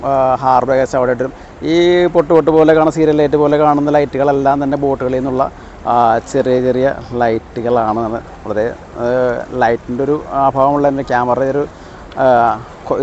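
A man narrating a casual vlog, often halting to search for words. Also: mid-range voice, a little mellow, dull audio. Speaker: vlogger. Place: outdoors on a seaside breakwater at night. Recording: noisy outdoor phone recording.

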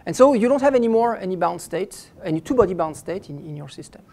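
A middle-aged man lectures on physics from behind a lectern in a lecture hall. His voice is mellow and soft-edged, and his delivery is halting, with frequent restarts mid-sentence. He sounds like someone working through an explanation live. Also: sits high, expressive. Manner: halting, stops and restarts phrases often while explaining. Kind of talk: academic lecture.

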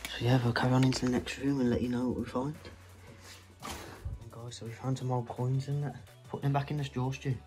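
A man filming himself with a phone as he explores an abandoned house indoors, talking low and hurried to his viewers. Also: flat delivery, a little mellow. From England. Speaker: urban explorer filming a walkthrough. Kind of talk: casual handheld vlog commentary. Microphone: handheld phone. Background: background music.

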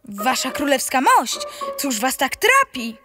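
High-voiced boy playing king. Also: big pitch swings, a little slow, over loud music. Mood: courteous, formal. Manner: formal, declamatory when playing king. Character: young boy playing a firm, courteous king.

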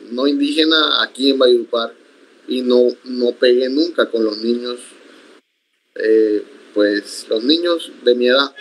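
Young man, first language Iku, measured reflective speech, frequent restarts, video call from home. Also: mid-range voice, low-quality recording. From Sierra Nevada de Santa Marta, Colombia. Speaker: interview guest, accordionist. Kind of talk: conversational interview. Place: home room, indoors.

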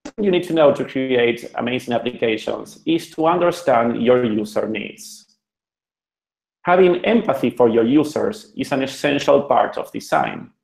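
An Argentinian man presents to developers over a video call, friendly and joking, with loose restarts. His voice has a gravelly edge. The room sounds echoey through his computer mic. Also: medium pitch, animated, moderate pace, darkish tone. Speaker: web designer presenting a talk. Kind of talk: online conference presentation.

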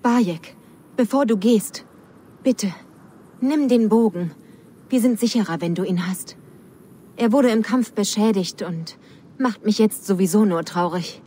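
A woman's voice: medium pitch, unhurried, long pauses, mellow, slight background noise, natural voice. An actress playing an earnest, direct young village woman in a video game. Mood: earnest, sad.

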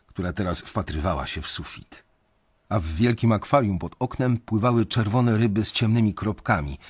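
An actor playing an anxious young banker who narrates his own story in a confiding, measured, dramatic way in an audio drama. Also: low voice, breathy edge. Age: young adult.

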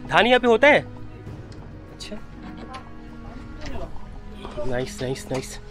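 A man's voice: high voice, very animated, moderate pace, music in the background. A man, a travel vlogger talking casually and curiously, asking questions and repeating words. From Patna, Bihar, India. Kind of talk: casual chat. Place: small village house and snowy lanes outside. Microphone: handheld camera at arm's length.

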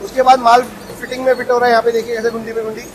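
Man, factory owner, high and monotone sales patter, noisy shop floor. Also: very fast. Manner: eager salesman, keeps inviting and promising quality. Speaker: footwear factory owner giving a tour. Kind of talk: sales pitch factory tour. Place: footwear factory floor, indoors. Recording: noisy factory machinery behind.

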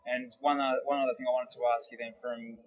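A man's voice: mid-range voice, flat delivery, fast, muffled recording. A man with an Australian accent, a personal trainer who speaks in a slightly hesitant way, repeating words as he goes. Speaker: personal trainer interviewing a client. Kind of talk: testimonial interview.

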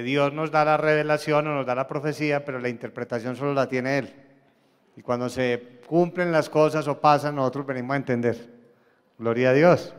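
A man's voice: on the high side, bright, forceful tone. A man leading a church service, addressing the congregation between testimonies. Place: large church hall during a service. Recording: echoing large hall, faint congregation sounds.

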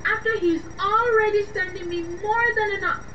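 A woman's voice: very high-pitched, steady tone, moderate pace, sharp tone. An actress playing a panicky, pleading young woman in a radio drama, with a Nigerian accent and breathless, repetitive delivery. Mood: accusing. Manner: breathless, pleading, repeating herself. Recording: muffled distant mic with faint noise.

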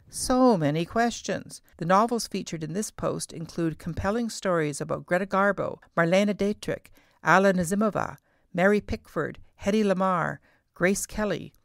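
A middle-aged woman reading scripted podcast narration as host, in a measured, carefully enunciated way. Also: lowish voice, vocal fry, dry recording, studio quality.

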